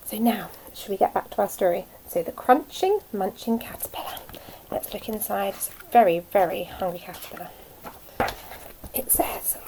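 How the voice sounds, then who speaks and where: A woman speaking as a nursery teacher, telling a story to young children in a simple, expressive way. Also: fairly high pitch, slight background noise, poor audio.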